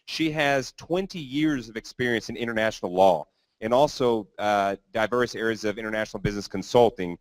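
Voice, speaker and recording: A man's voice: on the low side, a little fast, no room sound, rough recording. A man hosting a business conference, introducing speakers in a loose, rambling way with some fillers. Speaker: event host.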